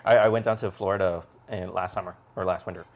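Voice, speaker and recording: Fast-talking, very deep-voiced podcast co-host. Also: male voice, fairly even intonation, darkish tone. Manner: quick, self-deprecating banter. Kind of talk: casual podcast chat.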